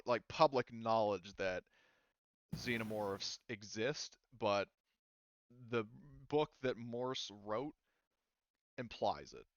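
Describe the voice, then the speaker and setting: A man acting as game master, narrating a tabletop game casually and haltingly as he thinks aloud. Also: medium pitch, fairly bright tone, no room sound, highs cut off.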